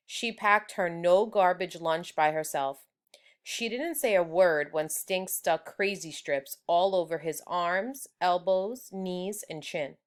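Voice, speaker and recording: A woman reading a children's story aloud in a deliberate, expressive storyteller's manner. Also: on the low side. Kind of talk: children's book read-aloud.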